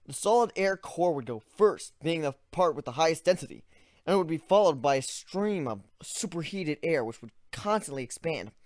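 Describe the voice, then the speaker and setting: A man narrating a first-person fantasy story, measured, dropping low and quiet at tense moments. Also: animated, no room sound.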